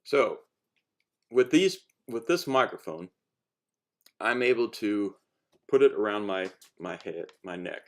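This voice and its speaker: Rambling, low-pitched man, casual demo. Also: expressive, takes it slowly, long pauses. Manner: rambling, hesitant, self-interrupting. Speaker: hobbyist demonstrating homemade cosplay gear. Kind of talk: casual how-to vlog talk.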